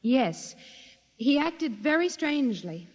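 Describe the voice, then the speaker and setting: An actress playing a prim, agitated secretary giving emphatic testimony in a 1950s radio drama. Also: female voice, sits high, sing-song, takes it slowly, a little mellow, exaggerated voice. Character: prim, agitated female secretary on the witness stand. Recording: echoey courtroom-style reverb, old radio.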